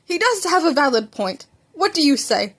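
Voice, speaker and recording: A kindly grown man who mentors young heroes, acted by a woman reading fan fiction. The voice is high, yet formal. He speaks politely and with measured encouragement. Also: sing-song, fairly bright tone. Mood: encouraging. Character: kindly, formal adult male hero mentor. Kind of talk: fan fiction audiobook character voice.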